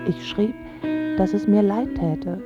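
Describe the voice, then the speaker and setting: A woman's voice: medium pitch, expressive, slow pace, muffled recording, over loud music. An actress playing a passionate, wounded young woman in love, in a radio drama with first-person narration. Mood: hurt, intense.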